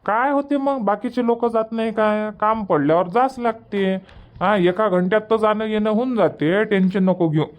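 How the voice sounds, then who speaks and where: A man's voice: sits high, normal speed, close to an everyday voice. A man voicing an easygoing, stubborn village husband in a cartoon comedy, chatty and colloquial, with a rural Varhadi Marathi accent. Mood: casual, reassuring. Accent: rural Varhadi Marathi from Vidarbha. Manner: casual, colloquial, explaining at length.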